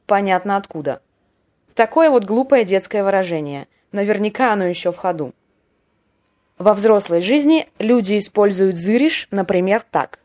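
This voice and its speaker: A woman's voice: mid-range voice, animated, moderate pace, a little mellow. A woman hosting a podcast that explains slang words, in wry scripted narration with short acted example lines. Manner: wry, playful, acts out short example dialogues. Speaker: podcast host teaching Russian slang.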